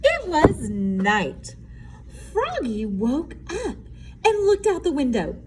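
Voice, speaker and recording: A woman teacher reading a storybook aloud with simple, emphatic explanations and an expressive delivery. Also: sits high, slow, background noise.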